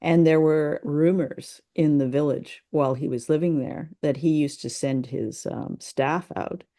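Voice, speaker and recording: A woman co-hosting a podcast, explaining things in casual discussion and thinking aloud in a halting way. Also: deep voice, mellow. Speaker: podcast co-host.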